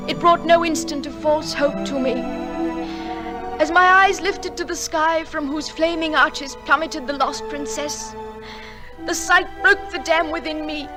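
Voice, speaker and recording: An actress playing a lovelorn young woman, delivering a poetic, yearning monologue in a radio drama. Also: extremely high pitch. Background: music.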